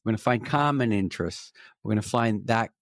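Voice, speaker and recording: A man's voice: on the low side, brisk, no room sound, clean studio sound. A middle-aged man with a New York accent, a sales trainer speaking as a podcast guest in an emphatic, coaching way that repeats key phrases. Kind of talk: conversational interview.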